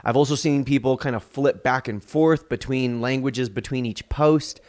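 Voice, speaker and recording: A man's voice: mid-range voice, normal speed, a little mellow. A man hosting a podcast, chatting casually with a guest, friendly and loose with fillers and restarts. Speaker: podcast host. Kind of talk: casual interview chat.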